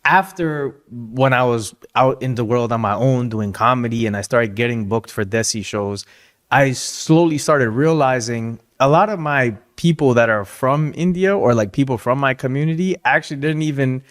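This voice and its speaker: A man comedian from Canada, reflecting on his background in relaxed podcast chat, with many fillers. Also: mid-range voice, moderate pace. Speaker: comedian guest.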